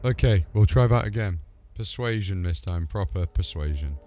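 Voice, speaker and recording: A man's voice: deep voice, animated, normal speed, dark tone. A man giving live gameplay commentary, talking to himself as he reacts to what happens in play. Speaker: video game streamer. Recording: music behind the voice. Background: game music.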